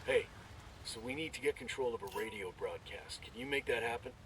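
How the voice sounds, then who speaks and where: An actor playing a measured, resolute special forces soldier in a video game. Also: male voice, mid-range voice, natural voice. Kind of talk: video game cutscene acting. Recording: distant voice in loud ambient noise.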